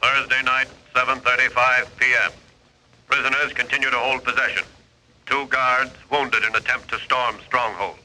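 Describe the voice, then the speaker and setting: An actor playing the grave, urgent narrator of a 1940s radio crime drama, in formal scripted delivery. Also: male voice, medium pitch, flat delivery, moderate pace, background noise, close to an everyday voice. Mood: urgent, terse. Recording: old radio broadcast recording.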